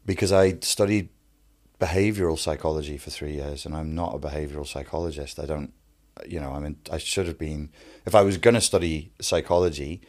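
A man's voice: very deep voice, steady tone, fairly quick, a little mellow. A man from the Wirral with a light Scouse accent, a guest telling his story in a wry, self-mocking way and laughing often. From Wirral, England. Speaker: guest, narcissism coach. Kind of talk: candid conversational storytelling.

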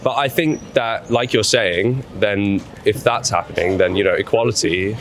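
A man's voice: on the low side, moderate pace. A young man being interviewed in a casual street conversation, hesitant, with many fillers and restarts. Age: young adult. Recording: crowd noise of a busy street.

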